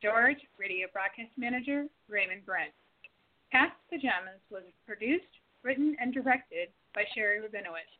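A woman's voice: medium pitch, animated, moderate pace. Woman narrator on a tinny line. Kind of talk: scripted radio play narration.

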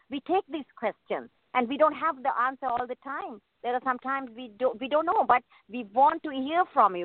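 A woman from Sri Lanka speaking as a guest in a radio debate, earnest and halting, restarting her sentences. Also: sits high, dry recording. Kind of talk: phone-in panel debate. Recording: thin phone line, dry.

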